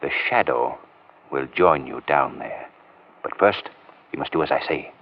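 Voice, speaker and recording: An actor playing a suave, commanding detective in an old radio drama, speaking in a measured, authoritative way. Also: male voice, rumbling low, exaggerated voice. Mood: calm, reassuring. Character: suave, commanding male detective with secret identity. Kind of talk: 1940s radio drama acting. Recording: muffled old radio recording.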